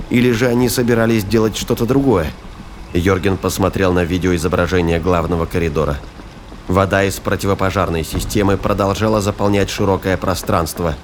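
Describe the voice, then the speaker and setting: A man's voice: sits low, a little hiss or hum. A man giving dramatic scripted narration as the narrator of a science-fiction audio drama.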